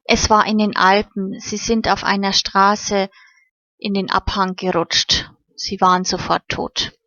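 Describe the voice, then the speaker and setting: A woman's voice: mid-range voice, flat delivery, unhurried, bright, forceful tone, no room sound. A woman reading the part of a cheerful, grateful young girl with folksy southern German turns of phrase. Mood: sad. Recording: slightly muffled recording.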